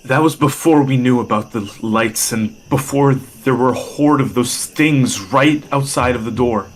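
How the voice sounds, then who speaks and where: A man playing a reluctant, skeptical young teammate in a webtoon fan dub, speaking in a halting, wary way. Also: medium pitch, close to an everyday voice, poor audio. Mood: wary, hesitant. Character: wary, reluctant young male teammate who argues back.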